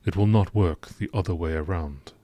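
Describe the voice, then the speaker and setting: A man narrating scripted science-fiction lore entries in a measured, formal documentary style. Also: sits low, warm tone, a little hiss or hum.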